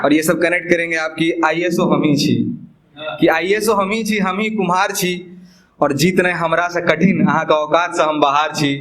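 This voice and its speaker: A man's voice: a little high, little pitch movement, moderate pace, fairly bright tone, slight background noise, rough recording. A man from Bihar with a Bihari accent performing spoken-word poetry live, emphatic and repeating lines for effect, with chatty asides. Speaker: poet performing own poems.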